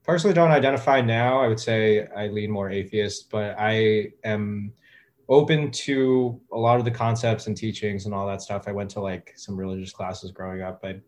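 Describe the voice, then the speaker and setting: A man in his thirties, a guest talking casually in an interview, with a relaxed, hesitant way of talking full of fillers and restarts. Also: fairly low pitch, normal speed. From United States. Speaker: guest, music producer.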